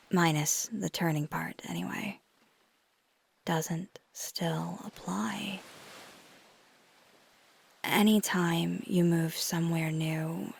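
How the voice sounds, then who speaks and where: A flirty, caring vampire woman played by a female performer speaks in an intimate ASMR roleplay. Her soft voice is breathy and gravelly with fry, and she takes her time over every phrase. She sounds affectionate and gently teasing, speaking close to the listener. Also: fairly low pitch, exaggerated voice. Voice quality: soft, breathy, often whispery. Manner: unhurried, thoughtful, affectionate.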